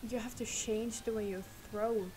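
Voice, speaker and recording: Swedish girl streaming, sore throat, rambly soft chat, loud noisy mic. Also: a little high, fairly even intonation, moderate pace. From Sweden. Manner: rambling, soft, laughs often. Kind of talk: casual chat while gaming. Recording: loud noise behind the voice.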